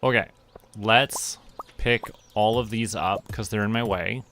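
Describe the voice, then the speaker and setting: A man from Canada streaming a farming game, talking to himself in a relaxed, muttering way as he plays. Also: lowish voice, unhurried, a little hiss or hum. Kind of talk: casual gameplay commentary. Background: game music.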